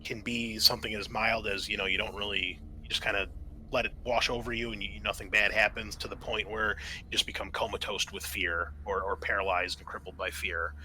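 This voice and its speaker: A man's voice: on the low side, level tone, brisk, bright, forceful tone, poor audio. A man acting as game master, casually explaining tabletop game rules. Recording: clear background noise.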